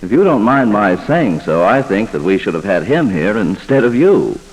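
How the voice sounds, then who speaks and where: A man's voice: lowish voice, sing-song, moderate pace, breathy edge, rough recording. A man working as host and announcer of a 1940s radio mystery series, speaking in a polished, formal scripted style. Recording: vintage 1940s radio broadcast. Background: music.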